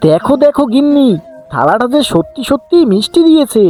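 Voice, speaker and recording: Woman playing an eager village father, high-pitched. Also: sing-song, fairly quick, warm tone, faint music behind. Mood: pleased, hopeful. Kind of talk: cartoon fairy tale acting.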